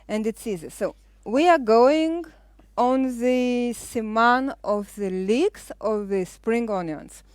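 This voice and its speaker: A middle-aged woman with a Lithuanian accent hosting a live cooking show, chatting casually as she explains each step with frequent pauses. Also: on the high side, a little mellow, dry recording.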